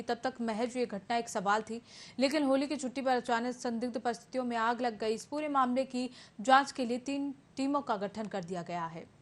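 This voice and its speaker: A woman anchor narrating a television news bulletin in a formal scripted style. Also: on the high side. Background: background music.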